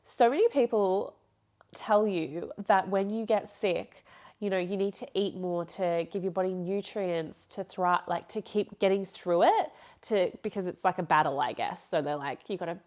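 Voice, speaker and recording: A young woman talks casually through her solo podcast, her voice nasal from a blocked nose. She rambles and corrects herself often and laughs between thoughts. Her pitch sits in a middle register. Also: moderate pace, darkish tone. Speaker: podcast host.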